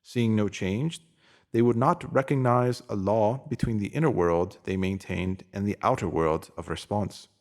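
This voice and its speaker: A man's voice: fairly low pitch, measured pace, a little mellow. Man earnestly reading a motivational spiritual essay. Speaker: narrator.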